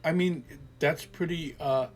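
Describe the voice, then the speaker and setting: A man reading an audio story, voicing a calm, skeptical grown man who patiently explains things away in a soothing, lecturing way. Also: on the high side, a little slow. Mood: placating.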